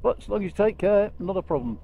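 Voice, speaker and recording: A man with a Lancashire accent giving casual, unhurried storytelling commentary as he rides a motorbike, speaking into a helmet mic. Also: high-pitched, animated, vocal fry, warm tone. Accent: Lancashire English. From Lancashire, England. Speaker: motorcycle vlogger. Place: outdoors on a moving motorbike. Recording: vehicle noise while riding.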